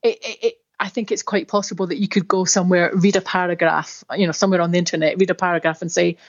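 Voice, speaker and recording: A woman's voice: on the low side, steady tone, fast. Scottish woman explaining on a dull line. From Scotland. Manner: thoughtful, explanatory, many fillers and restarts. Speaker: dictionary editor, guest. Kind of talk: radio interview.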